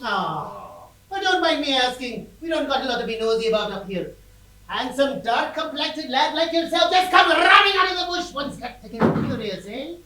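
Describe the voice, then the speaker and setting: An actor playing a jovial, nosy old country farmer with folksy, teasing speech in a theatrical style. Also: male voice, very high voice, big pitch swings, normal speed, pushed and bright, heavily acted. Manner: folksy dialect, chuckling. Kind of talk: theatrical stage-style acting. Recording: roomy, distant microphone.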